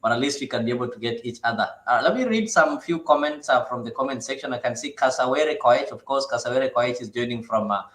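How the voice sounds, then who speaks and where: A man hosts a talk show panel at an ordinary mid pitch. He speaks hesitantly, stopping on fillers as he goes. His voice rings in an echoey studio room. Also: fast talker, hardly any pauses, a bit bright. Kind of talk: hosting a panel discussion.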